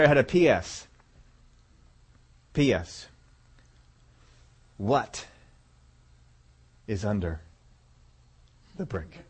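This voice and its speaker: A man preaching through a long story in a relaxed, folksy way. He chuckles often, and his voice sinks low and soft to land the key lines. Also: slightly rough. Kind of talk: storytelling sermon.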